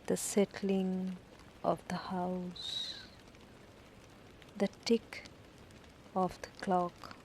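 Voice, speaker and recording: A woman narrating a guided sleep meditation story in short, deliberate phrases with long pauses between them. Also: lowish voice, a little mellow, a little hiss or hum, poor audio. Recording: rain ambience behind the voice. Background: falling rain.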